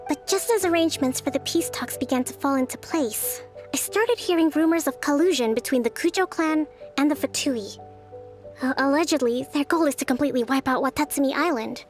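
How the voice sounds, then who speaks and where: Game role, for a woman: gentle but firm young leader, high voice, measured formal delivery. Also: faint music behind, lightly acted. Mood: grave, measured.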